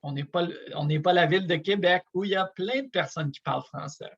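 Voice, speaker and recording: A man's voice: high voice, brisk, breathy edge. A man giving a fluent, persuasive informational presentation. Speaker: university representative. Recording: slightly dull, muffled recording.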